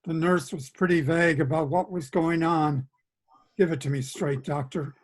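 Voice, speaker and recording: A man playing a bewildered, gullible patient, earnest and halting, in a comic play reading over a video call. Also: fairly high pitch, steady tone, close to an everyday voice. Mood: anxious, stunned.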